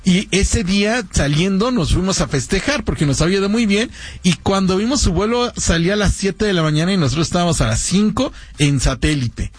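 A Mexican man co-hosting sports talk radio, telling animated stories with frequent laughs. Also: high voice, fairly quick, breathy edge, dry recording. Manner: animated storyteller, laughs often.

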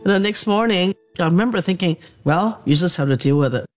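A woman's voice: fairly low pitch, expressive, brisk, faint music behind. A woman in her seventies from Hong Kong, a doctor reflecting as an interview guest, who laughs often as she recounts memories. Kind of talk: reflective conversational storytelling.

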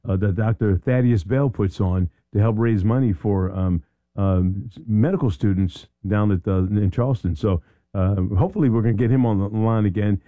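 A man's voice: on the low side, normal speed, no echo, phone-quality sound. A middle-aged man hosting a radio talk show in a conversational interview style.

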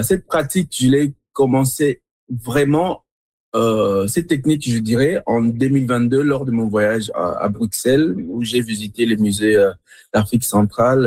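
A man from Congo gives reflective interview answers about his art in a husky, breathy voice. He hesitates and circles back as he searches for words. The recording is close and dry, with an average male pitch. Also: darkish tone, no room sound. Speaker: visual artist being interviewed.

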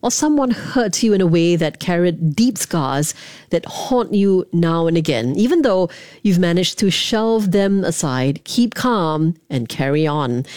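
A woman radio host with a low, mellow voice. She reads her introduction smoothly and then asks questions more loosely, with a few "uh"s. The studio sound is close and dry. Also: no room sound. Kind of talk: radio interview hosting.

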